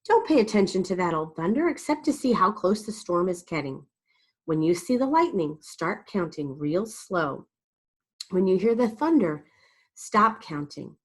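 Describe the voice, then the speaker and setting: A woman's voice: medium pitch, big pitch swings, unhurried, husky, full character voice. A woman voicing a kindly old farm grandmother in a storybook, reassuring and folksy as she coaxes a child. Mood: instructing. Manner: coaxing, folksy, encouraging.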